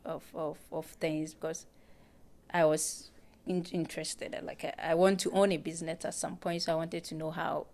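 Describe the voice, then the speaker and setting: A woman's voice: sits low, level tone, moderate pace, darkish tone. A woman in her twenties from Ghana, a guest chatting casually in a live-streamed interview, hesitant and repeating her words.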